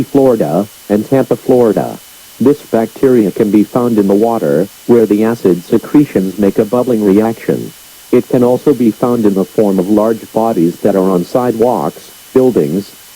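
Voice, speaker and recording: A man's synthetic-sounding voice as an emergency alert announcer, reading stiffly and impersonally, muffled and husky. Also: on the low side, warm tone. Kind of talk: scripted emergency broadcast reading.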